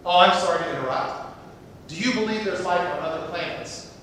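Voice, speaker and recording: A man's voice: high voice, fairly quick, fairly bright tone. A man acting in an amateur stage comedy as an insistent character who pesters with questions. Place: theatre stage, picked up from afar. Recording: distant, very echoey hall, faint noise.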